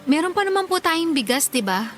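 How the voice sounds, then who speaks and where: An actress playing a dutiful but exasperated young Filipina working daughter, firm and long-suffering, in a Tagalog radio drama. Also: female voice, very high voice, very animated, fairly quick, a bit bright, full character voice. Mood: patient, apologetic. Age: young adult.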